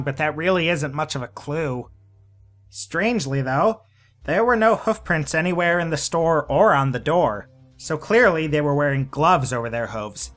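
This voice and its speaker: Man playing a brash, sharp-voiced police pony. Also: fairly high pitch, natural voice. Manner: dismissive, sarcastic. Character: brash, dismissive male police pony investigator. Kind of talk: audio drama acting.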